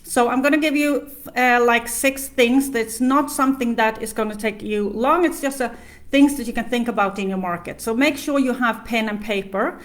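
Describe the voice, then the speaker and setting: A woman's voice: on the high side, normal speed. A middle-aged woman from Sweden with a Swedish accent, chatting to viewers as a business coach on a live stream, with many fillers.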